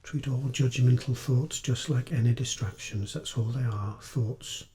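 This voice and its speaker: A man guides a meditation with a dark, relaxed tone and a middling pitch. His delivery is gentle and unhurried, leaving space between short phrases as he instructs the listener. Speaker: meditation teacher.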